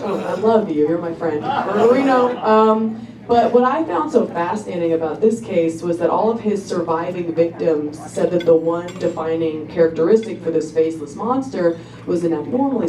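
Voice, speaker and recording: A young woman performing a stand-up comedy set with a laid-back, halting delivery full of ums. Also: fairly low pitch, animated, a little mellow. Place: comedy club stage. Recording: roomy club recording from a distance, crowd noise. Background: audience laughter.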